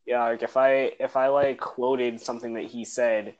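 A man's voice: mid-range voice, level tone, moderate pace. Male podcast co-host, casual and giggly, repeats words, muffled lo-fi sound. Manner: laughs often, repeats words when starting sentences. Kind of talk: casual chat. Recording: dull lo-fi audio.

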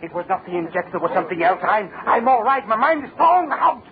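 An actor in an old-time radio horror play, playing an enraged, defiant general in frantic denial, barking explosive, repeated commands. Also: male voice, high voice, sing-song, quick pace. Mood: furious panic. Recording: muffled, hissy old radio recording.

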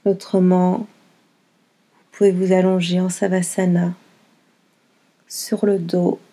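Woman doing guided yoga nidra, really slow n gentle, flat calm pitch, mellow, lots of pauses. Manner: gentle, unhurried, with long pauses between short phrases. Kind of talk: guided meditation, slow and soothing instruction.

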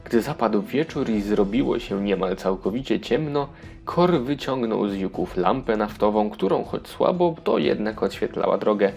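A man's voice: on the low side, expressive, normal speed, gravelly, a little mellow, soft background music. A man narrating a children's audio fairy tale in a scripted storybook style.

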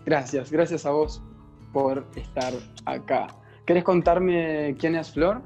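A man's voice: on the high side, fairly even intonation, slow, darkish tone, muffled recording, faint music behind. A man with an Argentine accent, hosting a podcast and introducing an interview. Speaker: podcast host.

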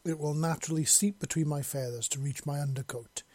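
A man's voice: fairly high pitch, steady tone, brisk, darkish tone, breathy edge. A man voicing a curious, commanding female bird-creature mistress in an audiobook story, with formal and precise speech.

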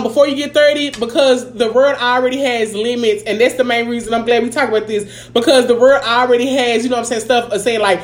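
High-voiced sassy woman co-host. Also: echoey room. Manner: sassy, hyping, swears freely. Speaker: podcast co-host. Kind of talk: casual chat.

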